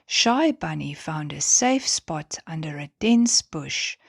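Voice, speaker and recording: A woman narrating a children's bedtime story in a gentle, expressive manner. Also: deep voice, slow pace, gravelly, husky, no room sound, studio quality.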